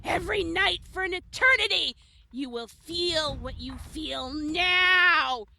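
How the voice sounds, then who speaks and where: A woman playing a vicious old nightmare demon in an audio drama, gloating in slow, taunting threats with a harsh, piercing shriek. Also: very high voice, lively intonation, creaky, bright, forceful tone, heavily acted. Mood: vengeful fury.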